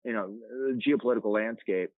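A man's voice: on the low side, a little fast, no room sound. A young man telling his story as a podcast guest, candid and rambling with plenty of fillers. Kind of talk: casual interview storytelling. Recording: tinny phone-line sound, dry.

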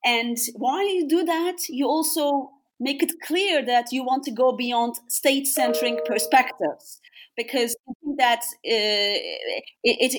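A woman hosting a podcast interview, asking measured questions with occasional hesitations. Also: a little high, poor audio. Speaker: podcast host.

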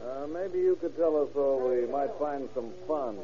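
An actor playing a sly undercover officer posing as an idle drifter, casual and probing, in 1930s radio style. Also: male voice, medium pitch, a little slow, mellow, lightly acted. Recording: muffled, noisy 1930s radio recording.

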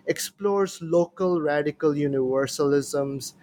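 A man's voice: on the high side, measured pace, darkish tone. A man hosting a podcast in a formal, courteous manner, reading a prepared introduction and putting questions to a guest. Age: adult, professor. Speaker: podcast host. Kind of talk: scripted introduction and interview hosting. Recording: noticeable background noise.

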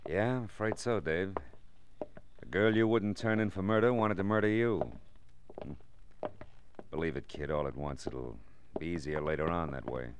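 An American actor playing a wry, hardboiled private detective in a mid-century radio drama, both speaking in scenes and narrating. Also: male voice, deep voice, moderate pace, pauses often, background noise, lightly acted. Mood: weary, consoling. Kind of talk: 1940s-50s radio drama acting and first-person narration. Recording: vintage radio recording with music.

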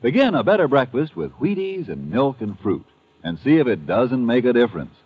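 Old radio ad guy, really animated persuasive pitch, muffled vintage sound. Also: normal speed, slightly rough. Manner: emphatic, persuasive. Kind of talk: 1950s radio advertising pitch.

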